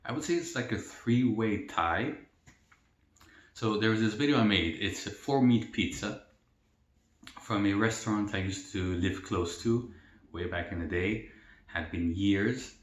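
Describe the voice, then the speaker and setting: A young man from El Salvador talks through a casual question-and-answer vlog, relaxed and rambling with plenty of fillers. His voice sits fairly low. The room gives the recording a noticeable echo. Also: a little slow. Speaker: mukbang vlogger. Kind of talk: casual Q&A while eating. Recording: roomy echo.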